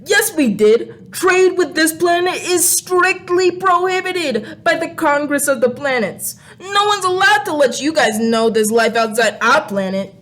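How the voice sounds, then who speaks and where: Casting: high-voiced woman as sarcastic alien creature, flippant and scornful, radio comedy, faint noise behind. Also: animated, a little slow, hardly any pauses, fairly bright tone. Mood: defiant. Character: brash, sarcastic alien crayon named Bob, impatient and dismissive. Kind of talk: radio play comedy acting.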